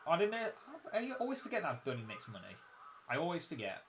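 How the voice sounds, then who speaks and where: A young man commentating casually on his own game livestream, his voice croaky, chatty and self-mocking as he explains the mechanics. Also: on the high side, huge pitch swings, quick pace, fairly bright tone, a little hiss or hum. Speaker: game streamer.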